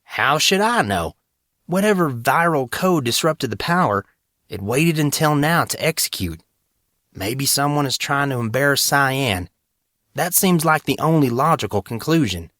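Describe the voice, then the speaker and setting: A man voicing a brisk young male student tech whiz in an audiobook, explaining matter-of-factly with some exasperation. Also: mid-range voice, animated, fairly bright tone, no room sound, clean studio sound, pitched above the performer's own voice. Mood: exasperated.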